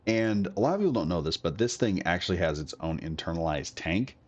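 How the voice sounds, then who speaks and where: A man's voice: low voice, expressive, fairly quick, muffled recording. A man recovering from a cold, coughing now and then, giving a rambling, chatty commentary on a game build. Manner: rambling, chatty, self-correcting. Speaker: gaming video creator.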